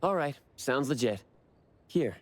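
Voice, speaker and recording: A man's voice: mid-range voice, expressive, takes it slowly, no room sound. A man playing an earnest, reserved young man in an anime game, speaking sincerely and with understatement. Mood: calm, curious. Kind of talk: anime game voice acting, conversational.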